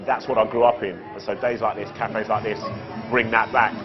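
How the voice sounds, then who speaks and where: A man's voice: on the low side, level tone, normal speed, lo-fi sound, over music. A man, talking reflectively in a documentary interview and often restarting his phrases. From East End of London.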